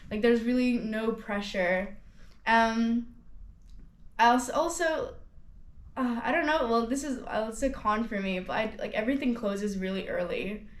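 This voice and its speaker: A woman's voice: on the high side, measured pace. A nineteen-year-old woman from Hong Kong, a student ambassador, chatting casually about her studies with many "like"s and restarts. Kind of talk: casual testimonial interview. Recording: roomy echo.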